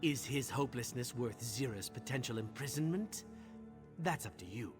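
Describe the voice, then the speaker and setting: An actor playing a somber, brooding veteran thief in a video game, terse and deliberate. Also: male voice, mid-range voice, animated, music in the background, close to an everyday voice. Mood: cool, pondering. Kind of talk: scripted video game dialogue.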